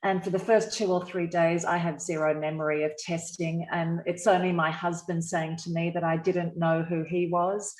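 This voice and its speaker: A woman's voice: fairly low pitch, flat delivery, moderate pace, few pauses, darkish tone. An Australian woman, a guest on a video call, telling her own story in a chatty, upbeat way. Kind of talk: casual personal account. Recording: echoey room on a call.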